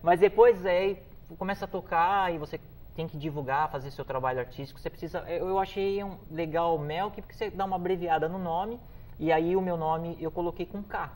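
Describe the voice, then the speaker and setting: Male guest, 40s, easygoing chat, a bit high-pitched, faint background hum. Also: brisk, a little mellow. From São Paulo, Brazil. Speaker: guest musician. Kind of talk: casual interview chat.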